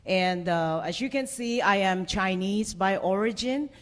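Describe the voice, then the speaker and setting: Middle-aged woman from the Philippines, physical therapist giving a conference talk, conversational with plenty of fillers, medium pitch. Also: measured pace. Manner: conversational, with frequent "uh" and "you know". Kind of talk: personal story told to a live audience.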